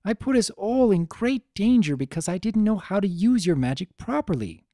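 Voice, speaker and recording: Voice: mid-range voice, big pitch swings, moderate pace, mellow, no echo, studio quality, pitched above the performer's own voice. A narrator voicing an earnest, self-doubting young female pony princess with a pleading manner. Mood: distressed, guilty.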